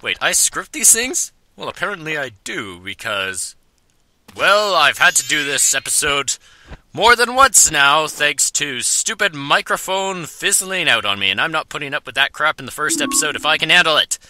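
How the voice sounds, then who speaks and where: A man's voice: on the high side, big pitch swings, moderate pace, pushed and bright, no room sound. A man giving playful, sarcastic commentary while playing a video game. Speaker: let's play host. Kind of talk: jokey gameplay commentary. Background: game music.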